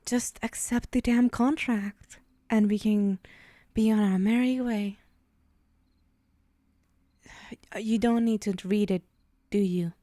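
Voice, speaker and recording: A woman's voice: mid-range voice, steady tone, slow, pauses often, mellow, dry recording, clean studio sound, natural voice. A woman voicing a vain, sly demon in an ASMR roleplay, speaking in a haughty, teasing, theatrical way with sighs. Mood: impatient, coaxing. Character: vain, sly female demon striking soul bargains. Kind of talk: ASMR roleplay monologue to the listener.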